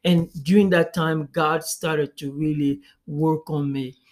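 A man with a Congolese accent speaks in a slightly high voice. He answers interview questions reflectively as a pastor, often pausing and restarting words while he thinks. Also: fairly even intonation, a little mellow. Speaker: guest, pastor.

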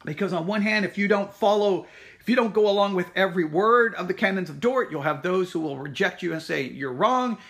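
A man's voice: sits high, a bit bright. A man speaking informally as a church teacher, emphatic and insistent, often repeating his points. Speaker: Baptist church teacher and podcast host. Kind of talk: informal spoken announcement and church history teaching.

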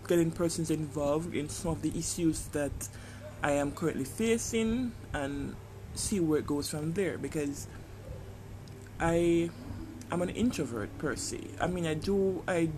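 A man's voice: on the high side, unhurried, background noise. A man with a Jamaican accent speaking in a casual personal podcast monologue, hesitant and reflective, often searching for his words. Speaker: podcast host.

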